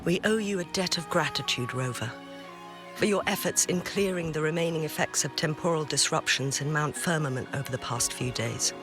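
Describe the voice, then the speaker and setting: A woman's voice: deep voice, fairly quick, natural voice. A woman playing a courteous, composed town official in a video game, speaking politely and formally over music. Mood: polite, grateful. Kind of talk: video game character dialogue.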